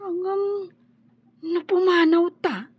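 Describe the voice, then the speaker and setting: A woman's voice: very high voice, steady tone, slow, dry recording. An actress with an Ilocano accent playing a young, plaintive and loyal servant woman in a radio drama. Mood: earnest, persuasive. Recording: dull, dry lo-fi studio recording.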